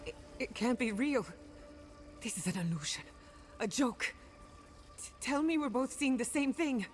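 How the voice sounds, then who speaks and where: A woman's voice: a little high, animated, unhurried, soft background music. An actress playing a haunted, defiant woman in a video game, intense and emotional in her delivery. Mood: distressed, angry. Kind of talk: video game dramatic dialogue.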